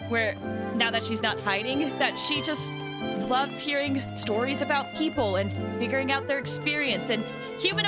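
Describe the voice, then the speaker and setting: Casting: female streamer, high and very lively voice, chatty rambling commentary, loud game music underneath. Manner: excitable, rambling. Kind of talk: casual livestream commentary, reading chat.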